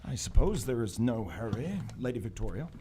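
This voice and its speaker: A man playing a proper, excitable gentleman explorer and loyal companion in live radio theater on a stage. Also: mid-range voice, fairly quick, close to an everyday voice. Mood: earnest. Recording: live stage recording with background noise.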